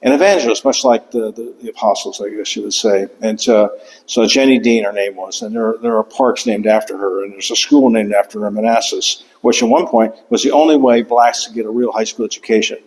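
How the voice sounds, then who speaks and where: An older man giving an author talk in a lecture room, speaking haltingly with many fillers and restarts. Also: lowish voice, vocal fry, a little mellow. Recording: long hall reverb.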